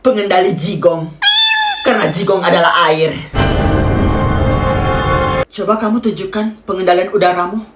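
A woman's voice: lowish voice, steady tone, normal speed, pauses often, roomy sound, over loud music. A woman doing a playful comedy parody sketch with joking asides, indoors at home.

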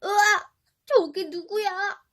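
A woman's voice: very high voice, steady tone, slow pace, a bit bright, pitched above the performer's own voice, exaggerated voice. A woman voicing a self-important, grumbling little boy prince in a storybook. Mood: whining, distressed. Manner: exclamatory, grumbling.

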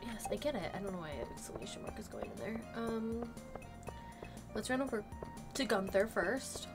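A woman's voice: medium pitch, sing-song, moderate pace. A woman with a slightly nasal voice, still recovering from a cold, chatting casually as she plays and talks to the game characters. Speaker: gaming channel host. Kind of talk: casual let's play commentary. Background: game music.

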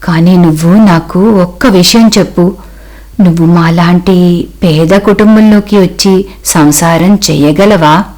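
A woman voicing a kindly, humble mother-in-law from a poor family in a cartoon story, gentle and maternal. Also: on the low side, measured pace, pitched below the performer's own voice, lightly acted. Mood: gentle, probing.

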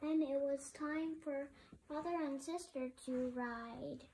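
A young girl reading a children's storybook aloud in a halting way, restarting words as she goes. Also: sits high, steady tone, warm tone, a little hiss or hum, poor audio. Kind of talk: halting read-aloud of a picture book.

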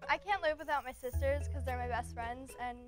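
A woman's voice: high voice, brisk, faint music behind. A teenage girl on a dating show, introducing herself in an upbeat, chatty way. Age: teens. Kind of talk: short self-introduction and answers to camera.

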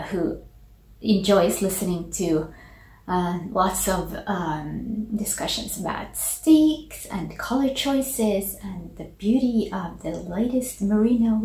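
A Finland-Swedish woman in her forties, a native Swedish speaker, chats to the camera in a relaxed and unhurried way, pausing often to gather her thoughts. She speaks from her small home office, and her voice carries a noticeably roomy echo. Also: medium pitch. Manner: relaxed, thoughtful, with many ums and pauses. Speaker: knitting podcast host. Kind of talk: casual chat to camera. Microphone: camera at desk distance. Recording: echoey small room.

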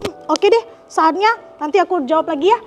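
A woman's voice: very high-pitched, fast, background noise. A young woman hosting a children's show, chatting playfully in exaggerated, wondering tones as she addresses the children directly. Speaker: children's show host and storyteller. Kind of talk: playful storytelling chat with children. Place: TV studio stage with children seated around. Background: music.

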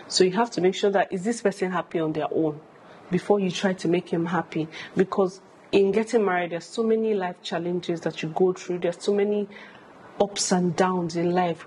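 A woman's voice: lowish voice, mellow, a little hiss or hum. A woman in her thirties with a Nigerian accent, a vlogger giving candid, emphatic advice and repeating phrases for stress. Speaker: vlogger answering viewer questions. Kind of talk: candid advice talk to camera. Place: furnished home room, indoors.

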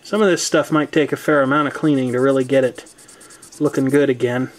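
A man's voice: mid-range voice, steady tone, fairly quick. An American man giving a casual hands-on demonstration in a folksy, wry, explanatory way. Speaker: presenter demonstrating tape deck maintenance. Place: indoor room at home. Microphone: handheld camcorder microphone.

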